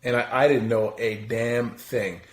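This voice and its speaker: A man's voice: mid-range voice, fairly even intonation, measured pace. A man in his forties, a podcast guest, talking loosely in casual chat, swearing freely and laughing at himself. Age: 40s. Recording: roomy echo.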